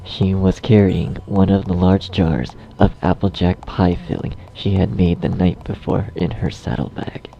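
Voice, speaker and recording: A man's voice: sits low, steady tone, a little slow, creaky, hardly any pauses. A man narrating a scripted story, recorded slightly dull. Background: faint music.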